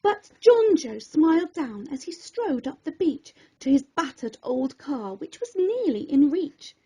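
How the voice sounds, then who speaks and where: A woman storyteller narrating a rhyming children's story in an expressive, theatrical and deliberate way. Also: high-pitched, rough recording.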